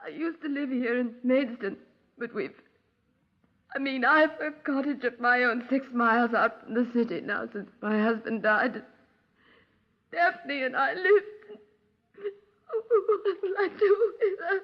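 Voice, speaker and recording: An actress playing a tearful, grieving middle-aged widow in a 1950s radio crime drama. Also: female voice, sits high, measured pace, long pauses. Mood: weeping, anguished. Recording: dull 1950s radio recording.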